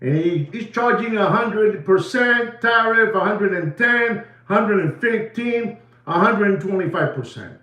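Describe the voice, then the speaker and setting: A man's voice: high-pitched, lively intonation, unhurried, bright, forceful tone, echoey room. A man from New York with a Puerto Rican accent, chatting on a livestream, rambling with lots of fillers and bits of Spanish. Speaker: livestream host. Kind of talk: casual livestream chat.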